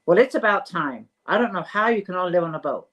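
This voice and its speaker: A woman's voice: lowish voice, lively intonation, fairly quick, fairly bright tone. A woman reading the part of a loving, chatty older British mother in a storybook, eager and full of questions. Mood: sharp.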